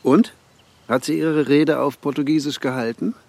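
A man's voice: on the high side, fairly even intonation, moderate pace, gravelly, over loud music. A man playing a wry, needling police detective who also coaches football, in a radio crime drama. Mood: sardonic. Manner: sardonic, probing.

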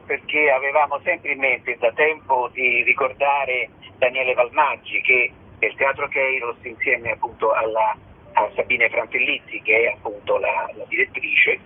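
Halting man on a tinny phone line. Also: medium pitch, steady tone, breathy edge. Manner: halting, hesitant, searching for words. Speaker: theatre festival artistic director, radio guest. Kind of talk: interview answers. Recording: narrow phone line with background noise.

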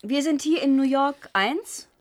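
An actress playing an urgent, defiant eighteen-year-old girl in a German radio drama, insistent and quick to talk back. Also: sits high. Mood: defiant, probing. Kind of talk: German radio play acting.

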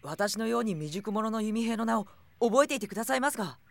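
Humble, earnest young archer. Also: male voice, sits high, lively intonation, fairly quick, gravelly. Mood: flustered, humble. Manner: polite, earnest. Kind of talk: anime audio drama acting.

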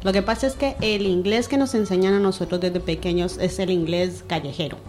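A woman's voice: fairly low pitch, fairly quick. Laughing Colombian woman on radio. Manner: lively, laughs often. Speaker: radio panelist. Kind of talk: casual radio chat.

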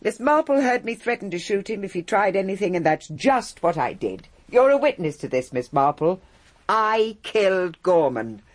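A middle-aged actress playing a bold, haughty aristocrat in a British radio drama, with an upper-class English accent and a theatrical delivery. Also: female voice, medium pitch, sing-song, normal speed. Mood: defiant, exultant. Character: bold, haughty aristocratic mother, secretly a crime boss. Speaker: actor in a radio mystery drama.

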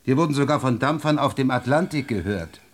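A man's voice: mid-range voice, lively intonation, brisk, lightly acted. Male, mid register, acting: 1920s state secretary for radio drama, formal, persuasive, sure of himself. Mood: insistent, confident.

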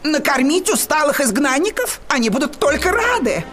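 Cheeky, lively little Yo-Yo. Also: female voice, high-pitched, moderate pace. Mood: curious, cosy. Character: curious, cheeky small male companion Yo-Yo. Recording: loud music behind the voice.

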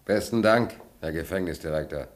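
An actor playing a wry, stateless drifter in a radio play, speaking in a laconic, deadpan way. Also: male voice, low voice, moderate pace, close to an everyday voice. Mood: polite, wry. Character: wry, stateless male drifter recounting his prison days. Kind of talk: German radio play acting, dry first-person narration.

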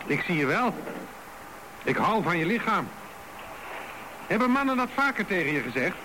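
A man's voice: sits high, sing-song, normal speed. An actor playing a jealous, suspicious husband in his fifties in a radio drama, probing and insinuating. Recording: noisy radio broadcast recording.

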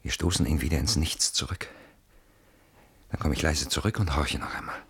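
An actor playing an anxious, suspicious, sardonic man in a German radio play, brooding and deliberate in his phrasing. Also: rumbling low, vocal fry, fairly bright tone, slightly rough, heavily acted. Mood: secretive.

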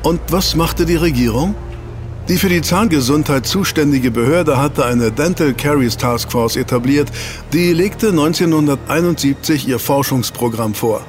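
A man's voice: mid-range voice, close to an everyday voice. A man playing an eager researcher in a German audio drama, explaining to his team and teasing out reveals with rhetorical questions.

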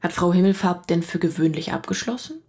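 A woman's voice: lowish voice, a little fast, vocal fry, a little mellow, slightly rough. An actress in a radio drama playing a matter-of-fact female police detective who questions probingly. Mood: probing, businesslike.

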